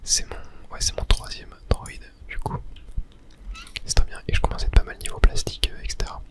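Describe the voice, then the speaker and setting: Whispered ASMR gaming commentary, hushed voice. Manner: hushed whispering, unhurried narration of own play. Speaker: gaming ASMR creator and host. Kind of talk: ASMR whispered video game let's play commentary.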